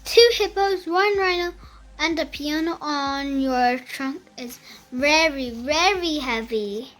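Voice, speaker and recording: A child reading a picture book aloud in a careful, halting beginner's way, with music behind. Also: high-pitched, fairly bright tone.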